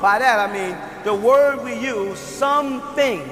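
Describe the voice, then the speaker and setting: A man giving a spiritual lecture and answering a question, explaining things slowly and deliberately. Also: very high-pitched, in a large hall, over music.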